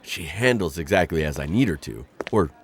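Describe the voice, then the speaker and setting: A man's voice: deep voice, animated, moderate pace, slight background noise. A man playing an awkward male insectoid bounty hunter in an ASMR roleplay, talking in a formal, flustered, rambling way. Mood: wistful. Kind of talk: ASMR roleplay audio drama.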